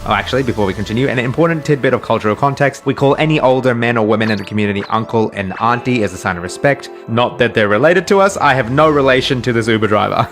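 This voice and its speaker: A man's voice: fast talker, hardly any pauses. A young man from Australia whose first language is Urdu, hosting a storytelling channel with playful, scripted comic narration. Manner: animated and playful, jumping into high excited asides. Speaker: animated storytelling channel host. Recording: voice-over with faint background music.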